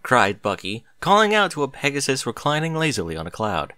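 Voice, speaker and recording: A man reading a story aloud as narrator, in an expressive scripted narration style. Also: medium pitch, a little fast, no echo.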